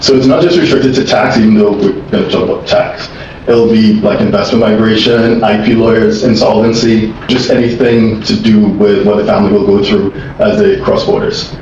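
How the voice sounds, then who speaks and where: A man's voice: on the low side, steady tone, moderate pace, a little mellow. A man informally introducing and moderating a panel discussion. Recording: distant mic, echoey noisy room, dull sound.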